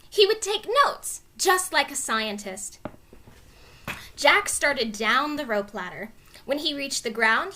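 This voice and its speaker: Casting: female storybook narrator, very lively melodic delivery, high voice, expressive and dramatized. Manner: expressive, clearly dramatized reading. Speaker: storybook reader. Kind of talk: animated children's read-aloud narration.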